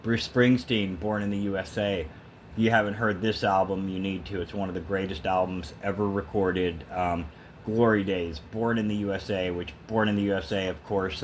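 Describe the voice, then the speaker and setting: A man's voice: low-pitched, slight background noise. A man talking casually and emphatically to the camera as an opinionated music fan, in a rambling vlog style. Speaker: vlogger showing a thrift store music haul.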